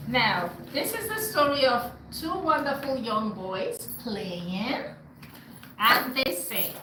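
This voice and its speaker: A woman's voice: fairly high pitch, fairly bright tone. Emphatic, preaching woman in an echoey room. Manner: emphatic, preaching, repeats words as she builds a point. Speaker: host. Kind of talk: community discussion and storytime. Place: indoor group gathering. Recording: echoey room with faint noise.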